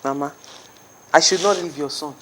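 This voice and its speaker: A woman's voice: low voice, moderate pace, a little hiss or hum, lightly acted. A woman playing a scornful defiant wife. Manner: mocking, scornful. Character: defiant wife about to emigrate with her children.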